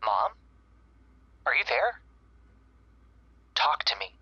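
A young man playing a hesitant, guarded grown son, speaking haltingly in a husky, breathy voice through a telephone in an audio drama. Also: mid-range voice, big pitch swings, sharp tone, dry recording, dull audio, heavily acted. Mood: tentative, guarded. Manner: halting, stammering, tentative. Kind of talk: naturalistic audio drama acting.

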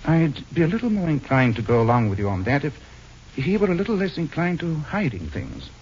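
An actor playing a suave, wry, unflappable amateur detective in a 1940s radio drama, speaking in an urbane, drolly teasing way. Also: male voice, on the high side, sing-song, brisk, darkish tone, slight background noise. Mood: polite, inquiring. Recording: dull old radio broadcast recording.

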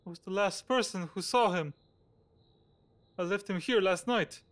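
A nervous, fretful gentleman in an audio drama, acted by a man, with a notably high voice. He stammers and pleads anxiously, frightened of being blamed. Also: normal speed, lightly acted. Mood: panicked.

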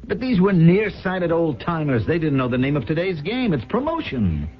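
A man's voice: fairly high pitch, sing-song, moderate pace. An actor playing an ambitious, defensive paint-company boss with a salesman's self-justifying manner in a radio drama. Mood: brash, insistent. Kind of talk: 1970s radio drama acting and first-person narration. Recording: dull old radio broadcast, faint music.

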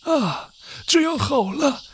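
A kind elderly baker frets and sighs in a high, quavering voice that swoops up and down, as a man puts him on. He speaks slowly, drawing out each worry. A faint hiss sits under the storybook recording. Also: animated, dry recording, full character voice. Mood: worried. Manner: sighing, fretful, quavering. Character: kind old male village baker.

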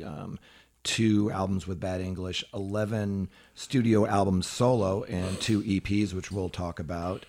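Male interviewer. Low, fry-heavy voice, conversational questioning style. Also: darkish tone.

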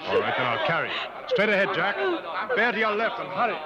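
A commanding jungle adventurer from a 1950s radio play. His delivery swings widely in pitch and carries urgent authority. The old broadcast sounds dull. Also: big pitch swings, fast talker, over a noisy crowd, very noisy. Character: commanding adventurer, hunter leading jungle escape, resolute. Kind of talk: 1950s radio drama acting.

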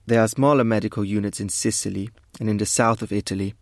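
Low-voiced German army medic played by a man. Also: steady tone, fast talker, no echo, clean studio sound, close to an everyday voice. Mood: matter-of-fact. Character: German Second World War army medical officer writing his diary. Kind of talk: dramatised diary reading.

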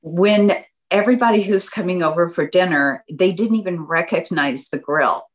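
A woman in her fifties, a guest chatting casually in an interview, animated and acting out what her friends said. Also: normal speed. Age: 50s. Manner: animated, acts out quoted friends in a higher voice. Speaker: guest entrepreneur.

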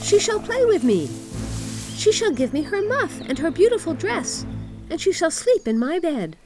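A woman's voice: very high-pitched, big pitch swings, normal speed, over music, voice pushed up. A woman voicing a fierce, wilful little girl in a storybook, speaking in an imperious, emphatic way. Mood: demanding.

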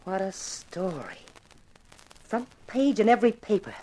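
A bossy, publicity-hungry producer from old radio drama. Her mid-pitched voice swoops theatrically, excitable and gushing as she pushes people along. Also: a little slow, rough recording. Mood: gleeful. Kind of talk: 1950s radio drama acting.

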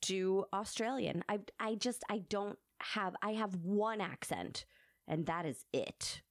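A woman's voice: medium pitch, takes it slowly, dry recording, clean studio sound. A woman co-hosting a podcast with casual, giggly, self-deprecating banter and informal phrasing. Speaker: podcast co-host.

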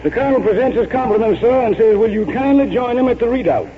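Very high-voiced Southern orderly. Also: male voice, lively intonation, quick pace. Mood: formal, proud. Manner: folksy, deferential. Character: earnest, folksy, deferential household orderly. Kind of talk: 1940s radio comic acting. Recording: tinny, noisy 1940s radio recording.

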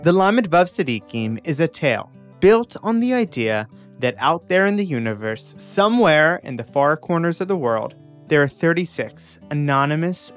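Lively young man narrating a podcast. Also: fairly high pitch. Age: young adult. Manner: reflective, measured delivery with dramatic pauses. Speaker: podcast host. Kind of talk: scripted narration and interview.

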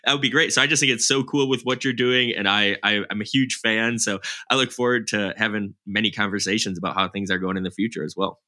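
Male podcast co-host, eager and upbeat, very bright edgy tone. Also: on the low side, steady tone, fast talker, hardly any pauses. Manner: enthusiastic, upbeat. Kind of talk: podcast interview.

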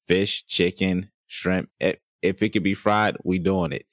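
Man, very low voice, animated colloquial storyteller, dry sound. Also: unhurried, dry recording. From American South. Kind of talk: casual podcast chat.

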